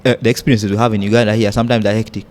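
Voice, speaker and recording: A man's voice: lowish voice, level tone, racing, darkish tone, a little hiss or hum. A young man from South Sudan, a guest in a candid interview, talking bluntly and emphatically. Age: young adult. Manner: blunt, emphatic, mimics people in quotes.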